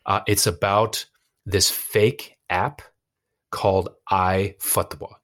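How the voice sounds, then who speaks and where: A man with a notably low voice and an American accent speaks as a guest in a casual interview. His phrasing is informal and loose, circling back and feeling for words as he goes. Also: level tone, slow pace, fairly bright tone. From United States. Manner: informal, hesitant phrasing with frequent "like" and "you know". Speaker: interview guest, actor and musician.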